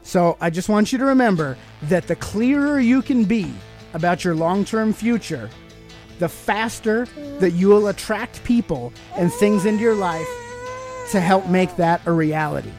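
A man's voice: high voice, expressive, moderate pace, darkish tone, soft background music. A middle-aged American man hosting a podcast, giving an emphatic, preachy motivational talk full of rhetorical questions. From United States. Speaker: podcast host.

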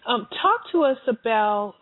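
A woman's voice: on the high side, expressive, unhurried, no echo. A woman host asks long, wandering questions, often restarting as she searches for words. The sound is dry and thin, like a phone line. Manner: rambling, stumbling, searching for words. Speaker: radio host. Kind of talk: interview questions.

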